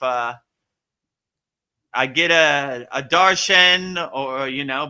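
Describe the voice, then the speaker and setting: A man hosting a live spiritual question-and-answer session, talking in a relaxed, rambling way with many fillers. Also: a little high, clear, resonant tone. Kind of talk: casual spiritual Q&A.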